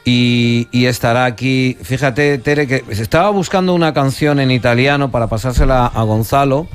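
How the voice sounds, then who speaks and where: A middle-aged man hosting a radio interview, speaking in a halting way with many restarts. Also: mid-range voice, smooth, soft background music. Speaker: radio host.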